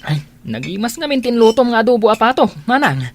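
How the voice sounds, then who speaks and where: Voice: mid-range voice, very animated, brisk, a little hiss or hum. A performer playing an easygoing, food-loving, evasive younger brother in an Ilocano radio drama, with hesitant, mumbled talk. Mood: contented, eating. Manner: hesitant, mumbling while eating.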